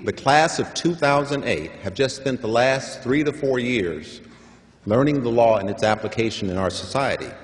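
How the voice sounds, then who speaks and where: Older man giving a graduation speech in a hall. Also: mid-range voice. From San Francisco. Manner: measured, emphatic, builds to stressed points. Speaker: commencement speaker. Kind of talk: formal commencement address. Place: large hall at a graduation ceremony. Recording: long hall reverb.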